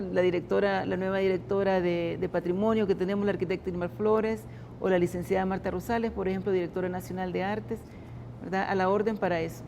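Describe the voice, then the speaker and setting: Halting woman official, mellow voice. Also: medium pitch, gravelly, slight background noise. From El Salvador. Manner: halting, many restarts and repeated words. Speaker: government culture secretary, interview guest. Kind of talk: interview answers.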